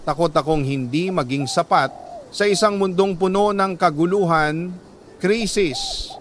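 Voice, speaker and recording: Higher-pitched Filipino man narrating dramatically. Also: a bit bright, slight background noise. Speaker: storyteller reading a listener's letter. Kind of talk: dramatic scripted story narration.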